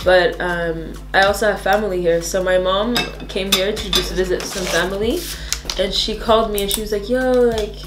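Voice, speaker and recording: A young woman from Sweden chatting casually as a guest, a relaxed storyteller. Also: mid-range voice, moderate pace, few pauses, reverberant, very noisy. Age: young adult. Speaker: guest friend. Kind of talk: casual chat.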